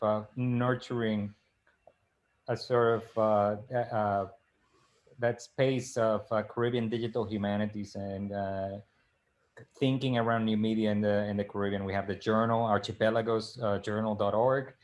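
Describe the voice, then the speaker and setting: A middle-aged man from the Dominican Republic, co-hosting a casual video-call discussion, speaking haltingly with many fillers and restarts. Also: on the low side. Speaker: librarian and co-host. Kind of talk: casual live-stream discussion.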